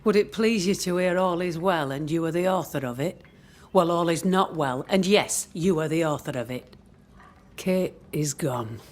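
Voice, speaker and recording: Actress as stern colonial settlement leader. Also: female voice, fairly low pitch, normal speed, darkish tone, lightly acted. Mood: bitter. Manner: curt, commanding, archaic phrasing. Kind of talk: dramatic game dialogue.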